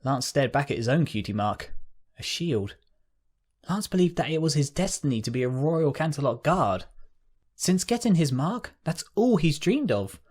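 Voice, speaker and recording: Husky man narrating a story. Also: on the high side, very animated, moderate pace, darkish tone. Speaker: audio drama narrator.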